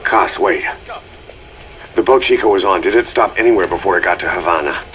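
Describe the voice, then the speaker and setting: An actor playing a gruff, terse and wary mercenary commander in a video game drama, speaking in a clipped, stern way. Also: male voice, low-pitched, brisk, fairly bright tone, a little hiss or hum. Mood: urgent.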